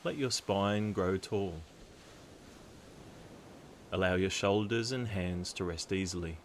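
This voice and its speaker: A man narrating a guided meditation in a calm, soothing, deliberate way, leaving long pauses. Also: low-pitched, a little hiss or hum.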